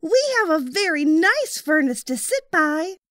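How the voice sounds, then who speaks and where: A woman's voice: high-pitched, very animated, unhurried, fairly bright tone, dry recording, studio quality, pitched above the performer's own voice, heavily acted. A woman voicing an eager, kind-hearted little boy in a storybook, earnest and wide-eyed. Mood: kind, inviting.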